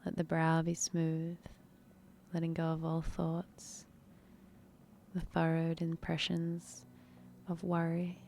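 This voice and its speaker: A woman leading a guided meditation in a soft, breathy, unhurried, soothing manner with long pauses. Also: low-pitched, monotone, slight background noise.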